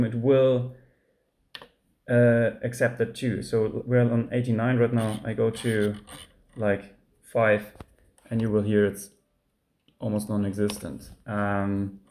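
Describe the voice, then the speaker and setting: German guy talking thru his sampler setup, kinda low voice, lots of ums, home studio cam mic. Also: a little hiss or hum. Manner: relaxed, many ums and restarts. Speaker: music producer. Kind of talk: casual studio walkthrough.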